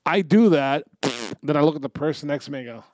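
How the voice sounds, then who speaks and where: A man co-hosting a podcast, telling crude comedic stories in a profane, animated way with frequent laughs. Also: on the high side, fast, no echo. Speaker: podcast co-host. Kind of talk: crude comedic storytelling and banter.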